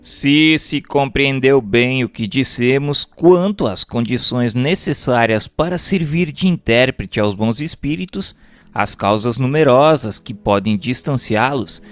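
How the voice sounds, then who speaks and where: A man with a Brazilian accent reads a scripted book chapter as narrator, in a formal, measured manner. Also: medium pitch. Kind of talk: scripted narration of a spiritual treatise. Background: background music.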